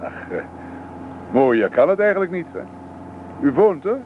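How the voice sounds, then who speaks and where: Kindly polite preacher, middle-aged, hesitant n keen, phone-like old radio play, noisy car. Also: male voice, fairly high pitch, sing-song, measured pace. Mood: genial. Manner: courteous, musing, hesitant. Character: genial, courteous, enthusiastic older preacher travelling by car.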